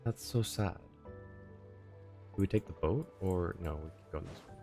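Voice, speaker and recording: A male game streamer with a low voice, commenting casually on what is happening live. Faint music plays behind him. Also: unhurried, warm tone.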